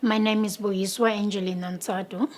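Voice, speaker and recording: A woman in her mid-forties giving plain, measured personal testimony to camera. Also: mid-range voice, steady tone. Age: 40s. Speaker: interviewee.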